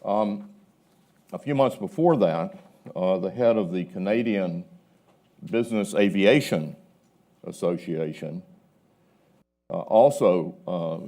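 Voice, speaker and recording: A man speaking as a panelist, arguing his point in a measured, emphatic way at a live event. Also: low-pitched, darkish tone.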